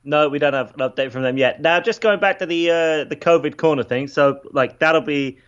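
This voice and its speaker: Guy calling into a radio show from home, slightly high voice, dull lo-fi line, chatty n wry. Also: brisk, clear, resonant tone. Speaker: radio station reporter on a remote update. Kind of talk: casual on-air chat. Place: at home, on a remote link. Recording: muffled remote call audio.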